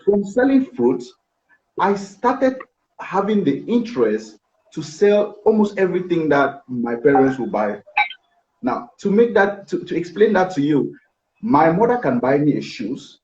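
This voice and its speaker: Middle-aged man, Cameroonian accent, lively swinging intonation, mellow tone, conversational storytelling. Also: a little high, unhurried. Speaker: interview guest, business executive. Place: indoor office. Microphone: video call, seated back from device. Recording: echoey, dull video-call audio.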